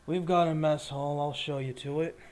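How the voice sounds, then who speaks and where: A man's voice: fairly high pitch, steady tone, normal speed, darkish tone, a little hiss or hum, lightly acted. A man voicing a huge arena fighter, terse and blunt, with grudging respect, in a tabletop game. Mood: matter-of-fact.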